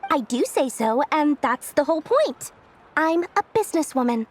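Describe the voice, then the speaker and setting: A woman playing a brisk, proud businesswoman in a game dub, speaking in a breezy, assertive, matter-of-fact way. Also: high voice, big pitch swings, a little hiss or hum. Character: brisk, proud businesswoman and investor-minded merchant lady. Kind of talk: English video game dub acting.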